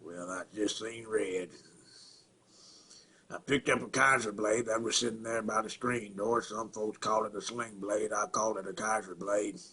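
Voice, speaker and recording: A man acting a simple-minded rural Southern man in a halting, plainspoken dramatic film monologue, with a throaty rasp and grunts. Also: lowish voice, steady tone, sharp tone, exaggerated voice. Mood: grim, matter-of-fact. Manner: halting, repetitive, plainspoken, folksy.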